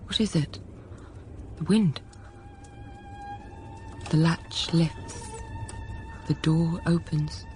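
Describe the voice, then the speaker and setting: An actress playing a frank, self-possessed young schoolteacher in a period radio drama, narrating quietly and thoughtfully. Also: female voice, deep voice, very slow, pauses often, faint music behind. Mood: suspenseful. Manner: quiet, composed, literate storytelling.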